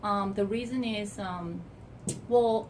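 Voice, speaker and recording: A woman with a Korean accent, an assistant professor, answering an interview question hesitantly with many pauses. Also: medium pitch, a little hiss or hum. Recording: voice from a distance.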